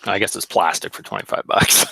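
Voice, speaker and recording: A man's voice: a little fast, pushed and bright, no room sound. A Canadian man co-hosting a podcast, chatting casually with wry, sarcastic jokes. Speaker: podcast co-host. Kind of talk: casual banter about shooting.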